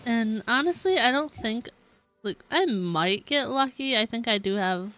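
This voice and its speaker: A woman's voice: a little high, animated, a little slow, sharp tone. Casual woman game streamer, music behind. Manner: rambling, giggly, hedging with frequent "like". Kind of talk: casual live-stream chat while playing a game.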